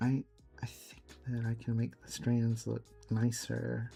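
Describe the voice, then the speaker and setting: Guy on an art stream, mid pitch, kinda murmury and half-distracted, faint music in the back. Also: completely flat delivery, unhurried, gravelly. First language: English. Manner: soft, musing, trails off mid-thought while working. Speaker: streamer drawing live. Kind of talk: casual chat while drawing. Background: faint background music.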